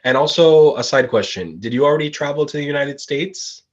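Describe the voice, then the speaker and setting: A man's voice: fairly high pitch, brisk, heavy background noise. Guy interviewing, hypes up answers, lots of ums, kinda lo-fi. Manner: enthusiastic, praising, with filler ums. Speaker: pageant analyst interviewer. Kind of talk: live interview.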